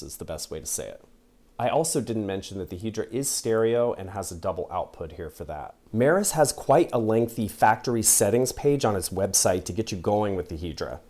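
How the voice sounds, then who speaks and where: A man's voice: lowish voice, darkish tone. A man giving a casual, informal and enthusiastic voice-over as a YouTuber reviewing gear. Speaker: YouTuber reviewing a guitar effects pedal. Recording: music playing behind the voice. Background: music.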